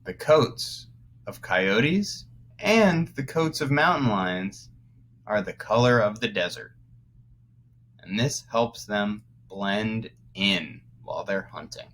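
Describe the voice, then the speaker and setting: Man, lowish voice, unhurried delivery, teacher reading to young kids, explains as he goes, some background noise. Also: lively intonation, fairly bright tone. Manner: explanatory, pausing to clarify words. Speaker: first-grade teacher. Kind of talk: read-aloud lesson for young children.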